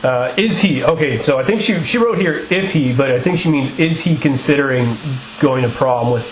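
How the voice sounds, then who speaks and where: A man interviewing a musician for a radio show, asking long, rambling questions with restarts and quick laughs. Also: medium pitch, roomy sound, a crowd in the background, poor audio. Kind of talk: casual interview.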